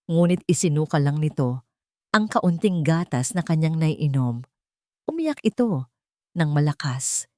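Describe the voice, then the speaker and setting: A woman's voice: low-pitched, mellow, no room sound, clean studio sound. A woman narrating a scripted dramatic story as a storyteller.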